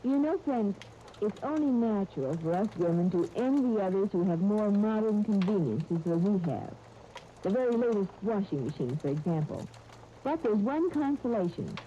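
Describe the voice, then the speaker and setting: A woman reads a sponsor message in 1930s radio style. Her tone is very mellow and dark. The sound is dull old disc, with a faint hiss. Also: mid-range voice. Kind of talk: 1930s radio advertising read.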